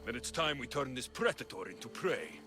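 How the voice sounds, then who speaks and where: Man acting a stern Greek mercenary, lively pitch. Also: fairly quick, over music, natural voice. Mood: grim resolve. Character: stern, impatient male ancient Greek mercenary for hire. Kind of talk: video game cutscene acting.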